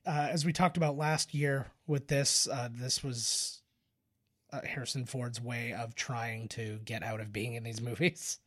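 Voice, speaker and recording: A man's voice: mid-range voice, fairly even intonation, unhurried, a bit bright. A man co-hosting a podcast, explaining film trivia in a casual, informative way. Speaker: podcast co-host.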